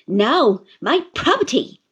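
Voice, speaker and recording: A woman reading aloud, voicing a fierce, blunt elderly aunt who speaks loudly and emphatically. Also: fairly high pitch, very animated, a little slow, husky, highs cut off, exaggerated voice. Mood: indignant. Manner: loud and emphatic.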